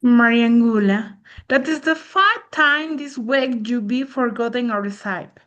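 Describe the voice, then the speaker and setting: A woman playing a sly, knowing village neighbor in an amateur drama, deliberate and mock-sweet. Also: a little high, fairly bright tone. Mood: chiding, suspicious.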